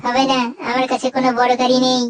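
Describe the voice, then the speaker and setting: Lady doing squeaky cartoon robot cat, kiddish, bossy and huffy, scolds like a stubborn friend. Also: monotone, fast, a little hiss or hum, natural voice. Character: scolding, touchy childlike robot cat companion. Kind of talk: cartoon dub acting.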